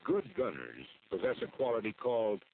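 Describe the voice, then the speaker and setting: A thin, phone-like old film soundtrack carries an American man's narration. His voice sits fairly low and moves with animated inflection. He reads instructional military script in a formal, measured way. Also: vocal fry, a little hiss or hum.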